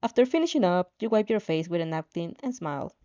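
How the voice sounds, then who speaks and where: Expressive, mellow woman narrating fan fiction. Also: lowish voice, quick pace, lo-fi sound. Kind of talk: expressive story reading with casual reactions.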